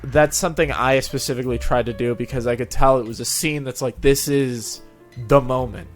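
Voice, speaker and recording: A man's voice: medium pitch, fairly even intonation, fairly quick. A man hosting a casual interview, talking in an enthusiastic, rambling way. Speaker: show host and reader of fan fiction audio. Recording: background music. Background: music under the talk.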